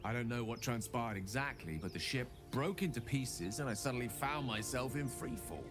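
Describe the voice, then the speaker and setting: Male wizard for fantasy game, played by an actor: verbose, affable, faintly pompous, very lively intonation. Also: medium pitch, moderate pace, close to an everyday voice. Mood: eloquent, curious. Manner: florid, wordy, theatrical. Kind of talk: dramatic game dialogue. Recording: music behind the voice.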